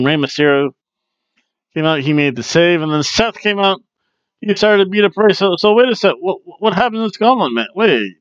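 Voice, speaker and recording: A man's voice: on the high side, clear, resonant tone, no room sound, lo-fi sound. A man in his forties casually recapping wrestling matches from a small home room, opinionated and chatty, on a headset microphone. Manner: chatty and opinionated, bursts into loud mock booing. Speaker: wrestling review host. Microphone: headset mic on video webcam.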